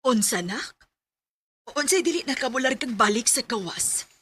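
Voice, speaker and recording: A woman's voice: on the high side, lively intonation, moderate pace, a bit bright, soft background music. A Filipino woman with a Cebuano accent acting a caring, accepting mother of a grown son in a Bisaya radio drama.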